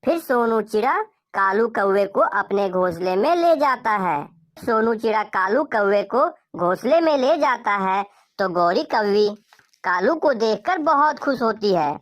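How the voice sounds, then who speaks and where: A woman's voice: mid-range voice, expressive, a little slow. A woman narrating a scripted children's cartoon story as a storyteller.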